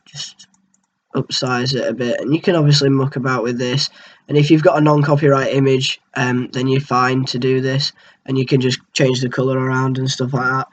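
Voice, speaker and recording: A young man with a cold and a tickly throat, talking through a software tutorial at his computer in a rambling, hesitant way with lots of ums. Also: mid-range voice, lo-fi sound. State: has a cold, keeps clearing his throat. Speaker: gaming channel host. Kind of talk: casual screen-recorded software tutorial.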